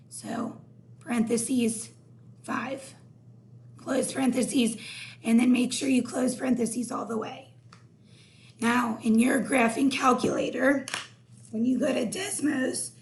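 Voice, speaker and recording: A hoarse woman teaching a recorded math lesson, explaining each step slowly. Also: sits high.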